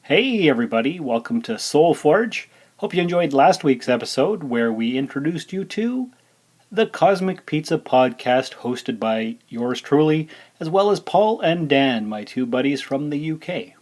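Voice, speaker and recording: A man's voice: on the high side, big pitch swings, measured pace, a little mellow. A man hosting his podcast, talking in a casual, rambling way with fillers. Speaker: podcast host. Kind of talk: casual solo podcast chat.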